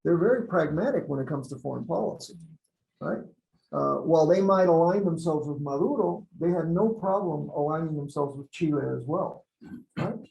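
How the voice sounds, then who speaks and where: Gravelly man, echoey, hesitant. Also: a little high, normal speed, a little mellow. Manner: hesitant, many fillers, conversational. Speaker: panelist, survey director. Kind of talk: academic panel discussion. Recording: echoey room.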